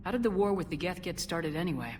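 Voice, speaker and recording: A woman's voice: lowish voice, animated, quick pace, over music, lightly acted. An actress playing a resolute, direct female military commander in a video game. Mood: calm.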